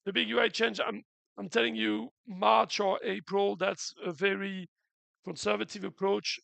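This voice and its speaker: Man, high voice, heavy vocal fry, bright tone, sore throat, casual stream update. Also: level tone, normal speed, dry recording. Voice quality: unusual voice, in his words. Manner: explanatory, earnest, many restarts. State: throat a bit sore after flu. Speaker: game developer hosting a community stream.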